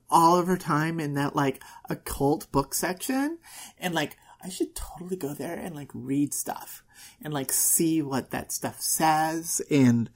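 A woman acts out a catty, self-adoring young blonde popular girl in an audio roleplay. Her valley-girl drawl is slow and breathy. The pitch leaps up and down in a very animated way, with airy, ditzy phrasing throughout. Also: full character voice. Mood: scheming. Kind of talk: first-person audio roleplay monologue.

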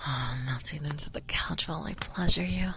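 Woman, hushed breathy whisper, deep and gravelly, unhurried, steady hiss behind the voice. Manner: soft breathy whispering, slow and intimate. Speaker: audio roleplay performer. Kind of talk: whispered intimate audio roleplay.

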